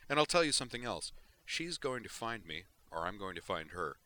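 A man's voice: on the low side, big pitch swings, fairly quick, sharp tone, slight background noise, pitched above the performer's own voice. A man voicing an angry, weary male starship pilot in a sci-fi audiobook reading. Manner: heated, grumbling.

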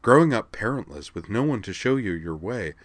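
A man's voice: lowish voice, big pitch swings, a little fast, dry recording, pitched above the performer's own voice. A man voicing a mocking imitation of a condescending woman counselor, syrupy and patronizing. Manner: syrupy, patronizing, mock-sympathetic.

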